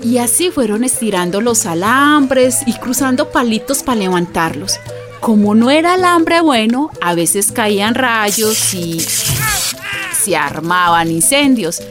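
Unhurried Colombian storyteller friend, music behind. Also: female voice, fairly high pitch, very animated. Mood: reflective storytelling. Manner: colloquial, storytelling. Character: Juana, plain-spoken neighbourhood storyteller and protective woman friend. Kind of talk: radio drama narration and dialogue.

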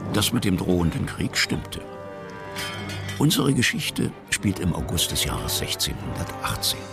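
Storyteller: extremely low, gravelly, measured explanatory delivery for a historical children's radio play. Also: male voice, big pitch swings, over music, full character voice. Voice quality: very deep, gravelly. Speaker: narrator.

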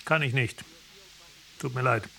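An actor playing a stern military doctor in a radio drama, cold, clipped, formal and impatient with authority. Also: male voice, medium pitch, measured pace, gravelly, sharp tone, a little hiss or hum, poor audio. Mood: cold, firm.

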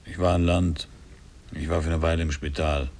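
An actor in a radio play voicing a gigantic, proud and aloof sailor who speaks curtly, with dignity. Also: male voice, extremely low pitch, level tone, moderate pace, creaky, a little mellow. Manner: curt, dignified, reserved.

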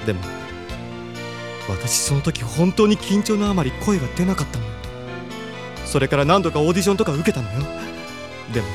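An actor playing a flamboyant, effeminate middle-aged male teacher of performing arts in an audio drama, with campy feminine speech and dramatic pauses. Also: fairly high pitch, big pitch swings, music in the background. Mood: rueful. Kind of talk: anime audio drama acting.

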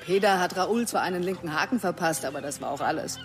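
A woman's voice: fairly low pitch, quick pace, close to an everyday voice. Actress as composed, defensive woman over music. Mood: confessing, composed. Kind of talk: radio drama acting.

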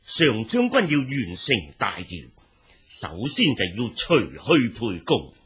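A man's voice: mid-range voice, huge pitch swings, slow pace, a bit bright, a little hiss or hum, full character voice. A man playing a ruthless, calculating old military adviser in a Chinese historical radio drama, speaking with theatrical emphasis. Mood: ruthless, urgent.

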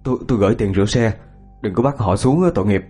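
A man playing an exasperated husband in an audio story, hurried and prone to stammer. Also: fairly low pitch, warm tone, husky, soft background music. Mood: apologetic. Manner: irritable, scolding, hurried, stammering. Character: exasperated husband of a crazed wife, scolding, apologetic. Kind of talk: audio ghost story acting.

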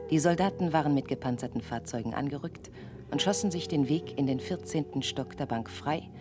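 Detached news announcer in a radio play, really low voice, music clearly underneath. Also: female voice. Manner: detached, matter-of-fact. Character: impassive female newsreader of a grim future city. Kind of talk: scripted news bulletin reading.

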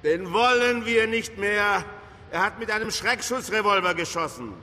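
An actor playing a blustering, sarcastic police chief giving orders in a crime radio drama. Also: male voice, high voice, expressive, moderate pace, sharp tone, long reverb tail. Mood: firm negotiating. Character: gruff, irascible male police chief.

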